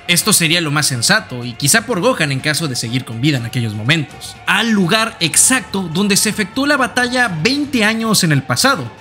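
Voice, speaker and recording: A man with a fairly high, very bright and forceful voice narrates an anime explainer over background music. He speaks emphatically and breaks his scripted delivery with chatty asides to his viewers.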